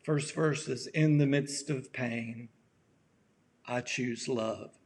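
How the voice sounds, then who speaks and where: A man with a raspy voice, a pastor giving a gentle, halting sermon with many pauses, his voice worn from allergies. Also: medium pitch. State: allergies acting up. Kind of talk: slow reflective sermon.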